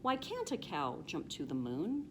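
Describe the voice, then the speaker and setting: A woman reads as a plucky, determined lady cow in a children's storybook. The voice is earnest and emphatic, with lively swings in pitch, over faint background noise. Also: unhurried, exaggerated voice.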